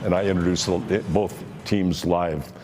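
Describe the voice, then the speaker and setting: A man's voice: sits low, steady tone, a little slow, noisy background. An older man, a sports arena announcer, chatting in a plain-spoken, self-assured way in an interview. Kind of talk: interview chat.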